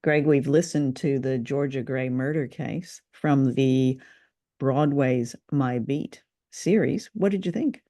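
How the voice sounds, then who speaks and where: A woman with a dark, mellow voice, pitched fairly low, co-hosts a relaxed review conversation. She is enthusiastic and generous, gushing about what she discusses. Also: slow pace, no room sound, clean studio sound, soft background music. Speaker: podcast co-host. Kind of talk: casual review chat about old-time radio drama.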